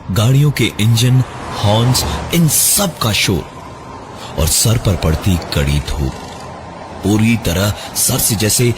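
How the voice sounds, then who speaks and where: Deep-voiced man narrating horror. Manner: dramatic, halting delivery with suspenseful breaks and repeated words. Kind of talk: dramatic first-person scripted horror narration.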